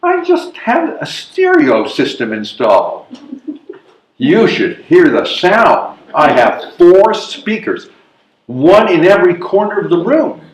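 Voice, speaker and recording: A man's voice: high voice, huge pitch swings, takes it slowly, exaggerated voice. A man playing a hesitant, fretful elderly widower in a readers theatre comedy, protesting and questioning. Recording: echoey live room recording.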